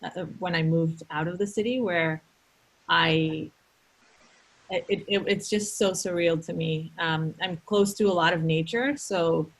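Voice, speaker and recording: A woman from Colombia with two young kids, thoughtfully talking through her art and city life in a relaxed chat. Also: lowish voice, a little slow, poor audio. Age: adult with children. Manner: reflective, thoughtful, many restarts. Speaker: consulting artist. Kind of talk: casual conversation.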